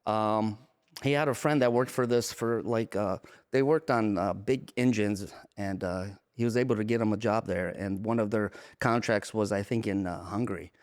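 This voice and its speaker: A man's voice: fairly low pitch, fairly even intonation, moderate pace, darkish tone. A man born in Romania and raised in the United States, speaking as a podcast guest, casually telling personal stories and repeating phrases for emphasis. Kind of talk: casual testimony, personal storytelling.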